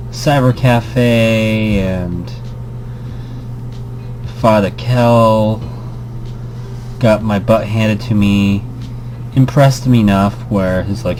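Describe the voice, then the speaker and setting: A man's voice: lowish voice, slow, long pauses, darkish tone, a little hiss or hum. A man hosting a casual let's play, chatting and reading a visual novel aloud in a jokey way. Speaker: host of a gaming series.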